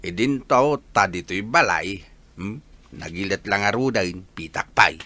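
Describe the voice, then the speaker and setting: A man's voice: on the low side, a little slow, bright, forceful tone, dry recording. An actor with a Filipino accent playing a talkative father in a radio drama. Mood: pleased, talkative.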